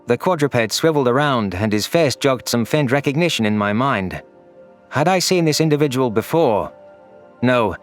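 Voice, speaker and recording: A man's voice: mid-range voice, animated, moderate pace. Man narrating science fiction over faint music. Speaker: audio story narrator. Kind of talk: scripted first-person science fiction narration. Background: faint background music.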